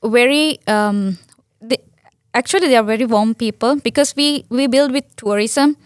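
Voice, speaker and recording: A woman's voice: fairly high pitch, normal speed. A young woman from Sri Lanka with a Sri Lankan accent, a native Sinhala speaker, answering interview questions earnestly with many restarts and fillers. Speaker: guest.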